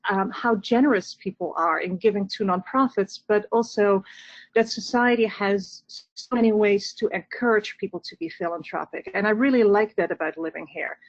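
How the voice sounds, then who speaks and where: A woman with a Dutch accent speaks earnestly and at a measured pace, circling back and restarting small phrases as she explains. Her voice sits at a middle pitch and sounds dry and a little dull, as if recorded close on a modest mic. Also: dry recording. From Netherlands. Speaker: nonprofit representative. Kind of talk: webinar panel answers.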